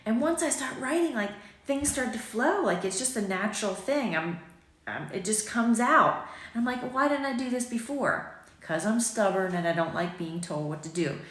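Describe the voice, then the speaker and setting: A woman in her forties, a little raspy from just waking up, chatting casually and rambling to viewers over morning tea, filmed on a phone at arm's length in her home kitchen. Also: on the high side, animated, normal speed. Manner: chatty, rambling, self-deprecating, with frequent ums. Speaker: boutique owner vlogging. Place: sunny home kitchen, indoors. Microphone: phone camera at arm's length. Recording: echoey kitchen room.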